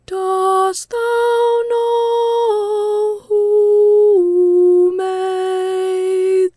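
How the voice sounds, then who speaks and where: A woman reading a literary ghost story as scripted narration, measured and often hushed as phrases end. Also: on the low side, no echo, studio quality.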